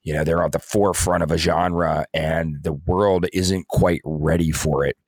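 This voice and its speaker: A man's voice: rumbling low, steady tone, normal speed, a little mellow, breathy edge, no echo, studio quality. A man co-hosting a podcast in casual discussion, explaining things in a rambling, hedging way. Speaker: podcast co-host.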